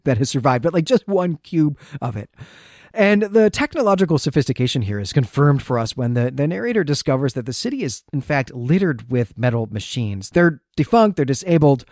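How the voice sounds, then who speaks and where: A man's voice: mid-range voice, a little mellow, no echo, studio quality. A man co-hosting a literary podcast, talking through a story in a casual, chatty and enthusiastic way. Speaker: podcast co-host. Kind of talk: casual literary recap and discussion.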